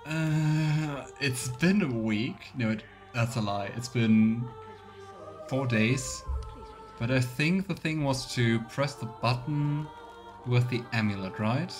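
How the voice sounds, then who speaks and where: A man gives casual let's play commentary as a game streamer. He talks slowly at a middling pitch, musing aloud and slipping in small role-play asides. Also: faint music behind. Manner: musing, thinking out loud.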